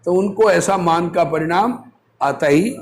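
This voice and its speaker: High-pitched man giving a slow religious discourse. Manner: didactic, asks rhetorical questions and answers them. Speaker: religious teacher reading and explaining scripture. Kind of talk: religious discourse, reading aloud with commentary.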